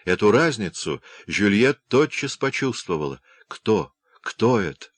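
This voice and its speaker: Male audiobook narrator, very low voice, dry close sound, measured literary reading. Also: very animated, slightly rough, dry recording.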